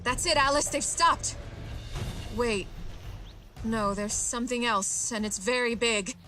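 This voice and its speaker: A woman's voice: fairly high pitch, measured pace, bright, forceful tone, soft background music. A woman playing an earnest, alert military liaison in a sci-fi video game, reporting in an urgent, clipped manner. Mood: alarmed.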